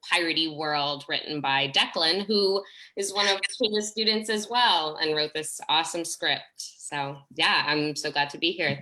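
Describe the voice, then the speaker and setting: Lady director chatting casually, kinda low but really bright voice, super enthusiastic. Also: poor audio. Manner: enthusiastic, effusive. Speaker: theater director and teacher. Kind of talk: casual video discussion.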